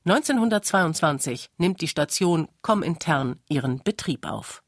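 Narrator with a husky edge, dry sound. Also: mid-range voice, animated, measured pace, no room sound. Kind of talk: scripted documentary narration.

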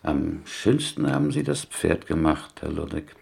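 Mournful fatalist prisoner, Yiddish-tinged Eastern European accent, very low gravelly voice, plaintive, radio play. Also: male voice, very animated, measured pace, a little mellow, exaggerated voice. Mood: skeptical. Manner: plaintive, inverted foreign syntax, rhetorical questions. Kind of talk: radio drama acting.

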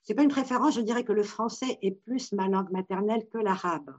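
A woman from Morocco, a therapist, answering interview questions in a thoughtful, halting way with many restarts. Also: medium pitch, a little mellow. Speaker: Gestalt therapist. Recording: dull lo-fi sound.

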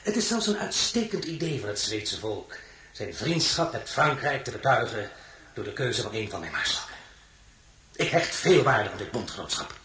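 A man's voice: mid-range voice, big pitch swings, normal speed, fairly bright tone. A man acting in a radio drama as an imperious, volatile French emperor, with a commanding, mocking, theatrical delivery. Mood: condescending, sardonic. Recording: roomy sound with faint noise.

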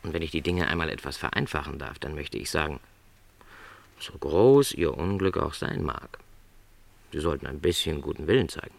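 An actor playing a gentle middle-aged travelling salesman in a literary radio play, earnest and reassuring in formal wording. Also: rumbling low, normal speed, creaky, no echo.

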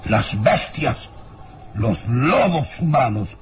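A man playing a scornful, ominous baron in a radio drama, with a theatrical, drawn-out and foreboding delivery. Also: mid-range voice, very animated, very noisy. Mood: ominous warning. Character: ominous, scornful baron obsessed with human wolves. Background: dramatic music.

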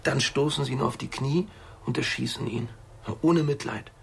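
Creaky-voiced, brooding man. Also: mid-range voice, normal speed. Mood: troubled. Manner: musing, troubled, colloquial. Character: gentle, brooding man haunted by violent imaginings. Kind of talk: naturalistic radio drama acting.

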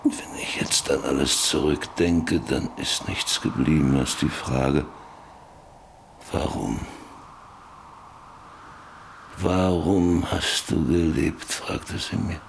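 An actor in a German radio drama playing an old, lonely rancher who broods bitterly over his life in halting, repetitive phrases. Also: a bass voice, vocal fry, a little hiss or hum, exaggerated voice. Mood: fearful. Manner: brooding, halting, repeating words.

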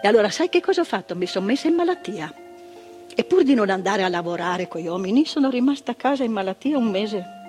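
An older actress playing a frank, earnest former psychiatric nurse in a stage monologue. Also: female voice, mid-range voice, animated, quick pace, darkish tone, faint music behind, natural voice. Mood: earnest recollection. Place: theatre stage.

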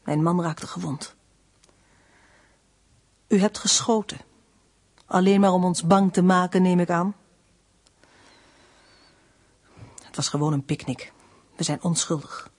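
An actress playing a defiant, weary detained wife in a radio play, giving guarded, dry and curt answers. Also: female voice, fairly low pitch, unhurried, long pauses, darkish tone. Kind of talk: Dutch radio play acting.

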